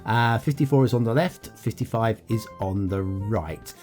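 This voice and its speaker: A man hosting a map review show, giving relaxed running commentary over game footage with lots of chuckles and ums. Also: on the low side, moderate pace, soft background music. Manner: chatty, self-mocking, chuckles often, trails off with ums. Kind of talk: casual gameplay commentary.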